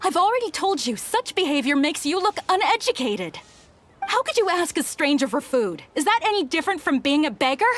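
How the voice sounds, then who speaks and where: A woman's voice: high voice, very animated, fairly quick, a bit bright, slight background noise. A woman voicing a stern but caring, anxious mother in a video game. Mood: scolding. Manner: reproachful, exacting. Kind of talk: video game character dialogue.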